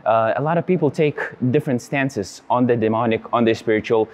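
A young man from Lithuania, a native Lithuanian speaker, hosting an interview in English with many pauses and fillers, seated in a large church. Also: mid-range voice, a little mellow. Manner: friendly, hesitant with many fillers. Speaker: host. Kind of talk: interview host intro and questions.

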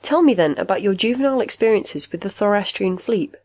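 A woman's voice: medium pitch, expressive, a little fast, a little mellow, close to an everyday voice. A woman voicing a condescending therapy machine in an audiobook, formal and patiently probing, full of clinical jargon.

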